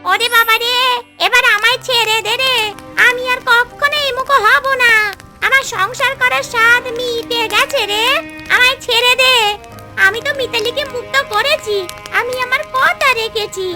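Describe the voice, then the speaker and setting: Shrill, very high-pitched cartoon ghost witch woman. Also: fairly even intonation, moderate pace, over music. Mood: pleading. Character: scheming female swamp ghost witch, shrill and pleading. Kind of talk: Bengali folk-tale cartoon voice acting.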